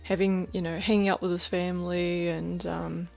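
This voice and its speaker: A woman in her twenties from Thailand, talking candidly in a personal video diary, rambling with lots of fillers and soft laughs. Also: fairly low pitch, level tone, moderate pace, creaky, muffled recording, faint music behind. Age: 20s. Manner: drops to a whisper about housemates. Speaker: vlogger.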